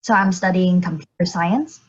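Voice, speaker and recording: A woman's voice: lowish voice, moderate pace, slightly rough, muffled recording. A young woman from Vietnam, a computer science student, introducing herself modestly and casually. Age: young adult.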